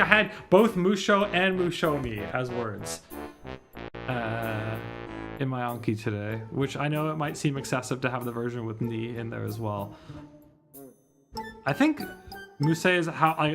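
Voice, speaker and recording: A man's voice: medium pitch, lively intonation, normal speed, music in the background. A man livestreaming a visual novel readalong, chatting casually and thinking aloud in a rambling way. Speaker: streamer reading visual novels.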